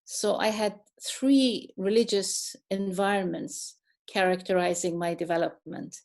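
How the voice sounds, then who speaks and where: A middle-aged woman from Iran giving an online talk on her career, speaking thoughtfully with many pauses, fillers and restarts. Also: medium pitch, fairly even intonation, gravelly, a little mellow. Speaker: guest speaker, neuroscientist.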